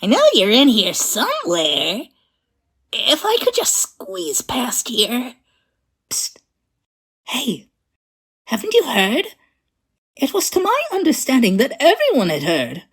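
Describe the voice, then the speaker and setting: A woman's voice: fairly high pitch, huge pitch swings, slow, long pauses, a bit bright, breathy edge, full character voice. A woman voicing a sassy, clumsy, menacing bird animatronic in a video game, theatrical and mock-sweet. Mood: sly, teasing. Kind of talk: video game character voice lines.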